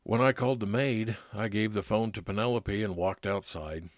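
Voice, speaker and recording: A man playing a calm, kindly private investigator who narrates his own case in measured old-time radio detective style. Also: lowish voice, dry recording, studio quality, close to an everyday voice. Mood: matter-of-fact. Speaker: narrator and lead actor.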